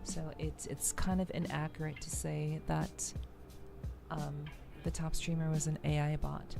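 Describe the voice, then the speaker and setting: Low, creaky-voiced woman streamer. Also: flat delivery, music in the background. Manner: halting, measured, repeats words as she thinks. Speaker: VTuber livestream host. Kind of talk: casual livestream chat, answering viewers.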